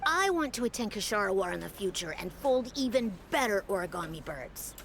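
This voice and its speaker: A woman voicing an excitable, wide-eyed child in an anime-style video game. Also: medium pitch, fairly quick, slight background noise. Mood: determined. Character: excitable, wide-eyed child who loves paper toys.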